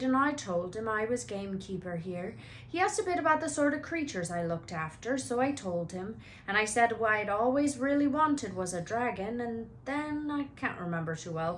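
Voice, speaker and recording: A woman's voice: medium pitch, slight background noise, close to an everyday voice. A woman voicing a big friendly, rambling gamekeeper man in a children's book read-aloud. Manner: folksy, rambling, blurting.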